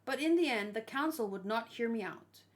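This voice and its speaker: A woman's voice: on the high side, animated, a little fast, natural voice. A woman reading manga dialogue as an earnest male royal warrior, formal and serious. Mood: grave. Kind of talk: manga dialogue read aloud.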